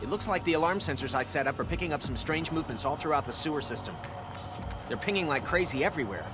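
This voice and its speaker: A male actor plays a brainy teenage mutant turtle in a cartoon game. The voice sits a bit high and moves around expressively, with a nerdy, explanatory manner. Clear music runs underneath. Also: animated, fast, lightly acted. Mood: puzzled, alert. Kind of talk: cartoon video game acting.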